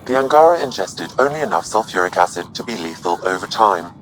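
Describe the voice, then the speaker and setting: Man voicing a very low, clinical AI assistant. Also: animated, soft background music, close to an everyday voice, poor audio. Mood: clinical, calm. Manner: measured, analytical. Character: calm, clinical artificial intelligence assistant, male. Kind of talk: video game dialogue.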